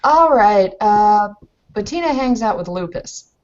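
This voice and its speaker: A woman's voice: mid-range voice, animated, a little slow, darkish tone. Casual woman gamer, muffled recording. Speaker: tabletop roleplaying player. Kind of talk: casual game chat and narration. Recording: dull muffled lo-fi audio.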